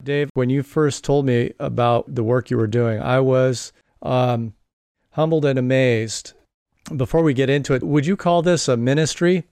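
A male host leads a podcast interview, asking his guest questions with engaged interest. His voice is smooth and clear at an ordinary pitch. It is recorded close, with a dry sound. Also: fairly even intonation, moderate pace, a little mellow, no echo.

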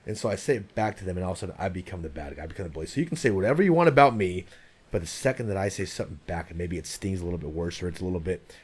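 Guy with a low husky voice, talks fast, blunt and swears a lot. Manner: blunt, profane, emphatic, animated. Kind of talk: casual chat, storytelling.

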